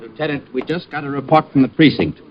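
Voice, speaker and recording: An actor playing a dutiful, formal, easily flustered police sergeant in vintage radio drama. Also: male voice, fairly high pitch, fast talker, lightly acted. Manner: formal, hesitant, obedient. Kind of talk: 1940s radio comic-relief acting. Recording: muffled, noisy old radio recording.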